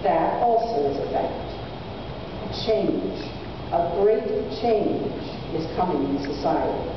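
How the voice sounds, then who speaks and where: A woman reading aloud as a cold, ruthless male oligarch, in deliberate dramatic oratory from a literary work. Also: fairly low pitch, lively intonation. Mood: cold, menacing. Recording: echoey hall, distant mic, muffled, noisy.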